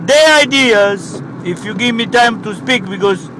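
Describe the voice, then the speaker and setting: A man's voice: sits high, animated, a little slow, background noise. A man with an Italian accent playing a rambling, pompous professor in broken English. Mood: grandiose.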